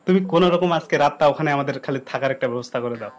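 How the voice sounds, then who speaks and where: A man's voice: medium pitch, rapid-fire, noisy background. A young man talking casually and insistently, as a prankster on a phone call. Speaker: prank caller and video host.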